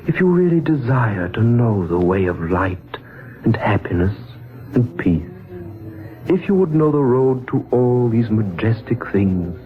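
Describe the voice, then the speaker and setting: Solemn old sage, muffled vintage radio. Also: male voice, on the low side. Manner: measured, solemn, oracular. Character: wise older man, a solemn mysterious mountaintop sage. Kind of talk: 1940s radio acting. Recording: muffled band-limited 1940s radio recording.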